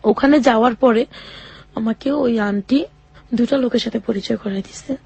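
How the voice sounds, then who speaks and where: A woman's voice: fairly high pitch, vocal fry, a little mellow, slightly rough, no room sound. Earnest woman telling her life story. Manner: halting and earnest, often quoting others. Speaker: interview guest. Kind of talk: personal life story told in an interview.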